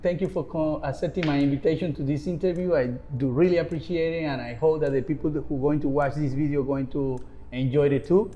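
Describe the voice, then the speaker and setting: A man hosting an interview, asking questions politely in halting, slightly slipping phrasing. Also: a little high, fairly even intonation, warm tone, highs cut off, slight background noise. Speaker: interview host.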